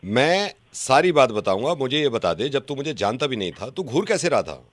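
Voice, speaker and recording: A man's voice: mid-range voice, sing-song, moderate pace, no room sound. A man making prank phone calls as a radio host, badgering and repeating himself as if offended. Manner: badgering, repetitive, feigning offence.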